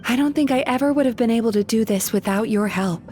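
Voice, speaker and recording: An actress playing a calm young woman psychologist heroine in a game, speaking in a gentle, measured, reflective way. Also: fairly high pitch, faint music behind, close to an everyday voice. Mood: relieved.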